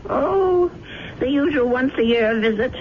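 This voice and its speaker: High-voiced, fussing elderly landlady. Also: expressive, slow pace, muffled recording. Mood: chatty, fond. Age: older. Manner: chatty, solicitous, fretful. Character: kindly, fussing elderly widowed landlady, a grandmother. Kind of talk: radio drama acting. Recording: noisy band-limited old radio recording.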